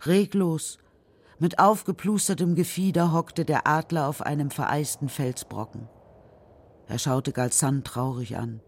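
A narrator reading a children's radio drama story in a measured, serious, literary style. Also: medium pitch, fairly even intonation.

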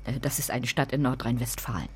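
A woman's voice: rumbling low, a little fast, slightly rough, heavily acted. A woman playing a brusque, sarcastic, proud mother in a radio play. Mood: guarded, distracted. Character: stern, sarcastic, proud mother of two daughters.

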